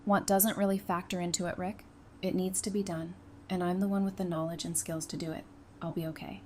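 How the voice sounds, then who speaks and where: A woman playing a composed, tough-minded therapist in an audio drama, speaking in a measured, dry, self-assured way. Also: fairly low pitch, level tone, darkish tone, slight background noise, close to an everyday voice. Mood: businesslike.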